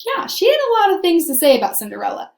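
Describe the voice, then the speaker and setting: High-voiced woman teaching young children. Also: huge pitch swings, fast talker, creaky, roomy sound. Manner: conversational, repeats points for emphasis, questioning pupils. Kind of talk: children's read-aloud lesson discussion.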